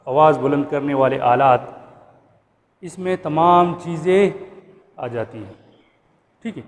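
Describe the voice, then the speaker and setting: Man, Pakistani accent, somewhat high-pitched, didactic lecture style, repeats for clarity, long room reverb. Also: a little mellow. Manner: didactic, repeats points and checks understanding. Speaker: law instructor. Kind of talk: explanatory lecture going through a statute clause by clause. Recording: reverberant room with background noise.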